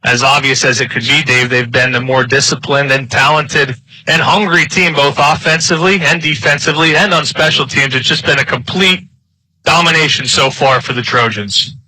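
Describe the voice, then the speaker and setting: A man's voice: mid-range voice, fairly even intonation, moderate pace, pushed and bright, dry recording, poor audio. A man giving live football analysis as color commentator, emphatic and opinionated.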